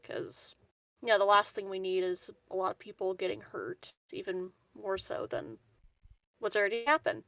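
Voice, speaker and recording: A woman's voice: medium pitch, moderate pace, vocal fry, a bit bright, no room sound. Casual woman gamer, dry close sound. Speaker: tabletop game player. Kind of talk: casual game-table chat.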